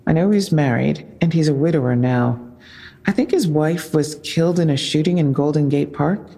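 A wary female police officer, with a husky voice full of fry. She is terse and defensive, pushing back on questions in an audio drama. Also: low voice, normal speed, dark tone, in a large hall. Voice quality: husky, creaky.

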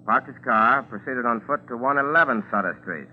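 An actor playing a brisk, decisive FBI field agent in a 1940s radio drama, giving terse, commanding lines. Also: male voice, medium pitch, animated, natural voice. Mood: matter-of-fact. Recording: noisy, tinny 1940s radio recording.

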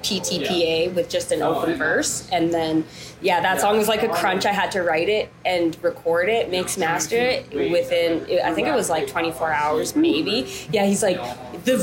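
A woman's voice: lowish voice, normal speed, poor audio. A woman rapper being interviewed, talking casually with lots of fillers and restarts. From Canada. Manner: chatty, many fillers and restarts. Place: downtown coffee shop. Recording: busy coffee shop chatter behind.

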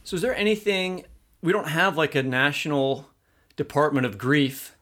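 A man with a fairly high voice speaks as a talk co-host. He is conversational and emphatic, breaking off and restarting as he argues toward his question. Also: animated, normal speed, fairly bright tone. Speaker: podcast co-host.

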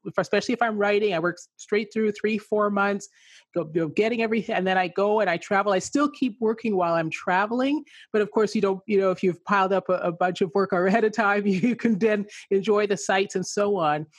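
A chatty woman raised in England and Canada, answering interview questions and laughing easily between thoughts. Her voice sits in a middle pitch, and it is recorded dry and close. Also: a little fast, no room sound. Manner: warm, chatty, laughs often. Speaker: guest author.

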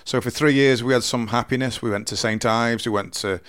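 A man in his forties with a deep voice, telling his life story slowly and earnestly in an interview, often restarting his sentences. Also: fairly even intonation, a bit bright, clean tone, dry recording, clean studio sound. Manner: slow, halting, earnest storytelling with many restarts. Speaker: interview guest. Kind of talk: candid personal interview.

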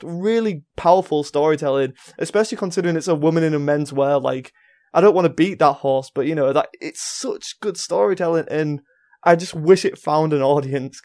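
A young man with a voice pitched a little high chats casually as a podcast panellist. He is enthusiastic about pop culture and leans emphatically into his opinions. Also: normal speed, a little mellow. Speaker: podcast panellist and film reviewer.

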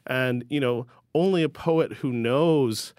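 A man's voice: mid-range voice, slow pace, a little mellow, dry recording, clean studio sound. Curious male interview host. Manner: curious, engaged questioning. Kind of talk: conversational interview.

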